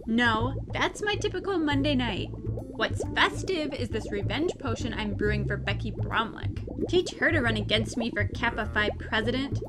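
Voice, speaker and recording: A woman's voice: a little high, expressive, normal speed, loud music behind the voice, natural voice. A woman voicing a sassy, spiteful young witch puppet in a comedic puppet show, sarcastic and snippy. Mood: snippy sarcasm.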